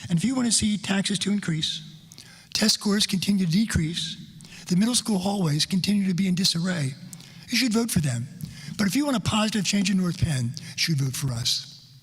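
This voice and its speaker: A man's voice: high voice, animated, fairly quick, breathy edge. A man running for school board, making pointed, argumentative debate points. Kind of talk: town hall debate statement. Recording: reverberant hall.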